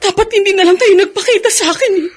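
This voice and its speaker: An actress playing a wounded grown daughter in an emotional Filipino radio drama. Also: female voice, extremely high pitch, rapid-fire, soft background music. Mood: angry, hurt. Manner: emotional, reproachful. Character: bitter, wounded grown daughter, a hardworking housewife.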